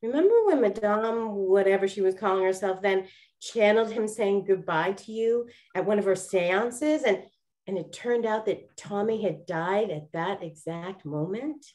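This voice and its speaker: A woman's voice: mid-range voice, normal speed, darkish tone, natural voice. A woman playing a chatty, wistful lady detective of the 1920s in a radio-style play.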